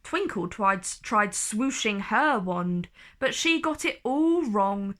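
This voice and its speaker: Animated woman reading a storybook aloud. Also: mid-range voice, slow. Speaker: children's storyteller. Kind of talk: expressive storybook read-aloud.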